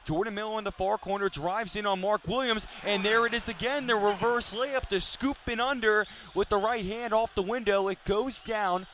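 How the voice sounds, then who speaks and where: A man's voice: high voice, steady tone, moderate pace, few pauses, a bit bright. A man in his twenties calling live basketball on the radio in a detailed, polished broadcaster's delivery. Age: 20s. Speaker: sports play-by-play announcer. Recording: live sports broadcast with arena noise. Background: basketball arena ambience.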